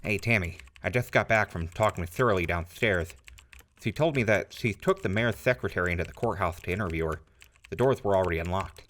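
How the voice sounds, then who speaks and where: A man's voice: low-pitched, brisk, fairly bright tone, a little hiss or hum. A man acting a hesitant, plain-spoken small-town lawman in a post-apocalyptic audio drama. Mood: reporting. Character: wary, folksy small-town lawman, easily cowed.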